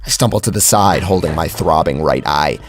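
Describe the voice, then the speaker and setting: A man's voice: very deep voice, fairly quick, soft background music. A man narrating a first-person horror story in a theatrical, suspenseful way.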